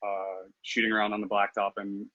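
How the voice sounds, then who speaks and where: A man in his thirties talks as a podcast guest, full of fillers and restarts. His voice is bright and fairly low, with a flat, even delivery. The audio is dry and slightly muffled. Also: fairly quick, no echo. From Philippines, raised in Los Angeles. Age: 30s. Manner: hesitant, many fillers and restarts. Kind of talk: casual interview chat.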